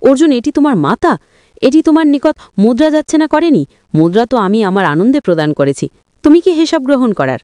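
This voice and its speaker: A woman voicing a domineering, quick-tempered older father in a story, commanding and indignant. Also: sits high, very animated, mellow, dry recording. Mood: angry.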